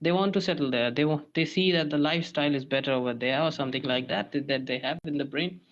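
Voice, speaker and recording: A man from India, hosting a casual podcast conversation, halting and full of restarts and "like" fillers. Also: fairly high pitch. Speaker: podcast host. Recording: dull lo-fi sound.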